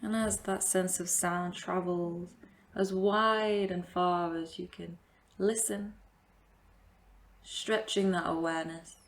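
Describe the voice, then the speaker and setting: Female meditation guide, lowish and very unhurried, gentle invitational phrasing with plenty of space. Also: steady tone. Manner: gentle invitational instruction with long pauses. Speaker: yoga and meditation teacher.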